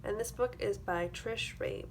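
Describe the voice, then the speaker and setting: A woman's voice: mid-range voice, unhurried, a little hiss or hum, rough recording. A woman teacher reading a picture book aloud to young children, expressively, with simple explanatory asides. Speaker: early-grades classroom teacher.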